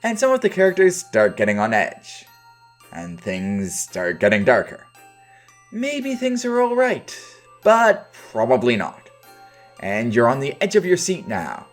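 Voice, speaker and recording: A man's voice: medium pitch, huge pitch swings, measured pace, soft background music. A man delivering a scripted video review in casual, opinionated phrasing with dry understatement.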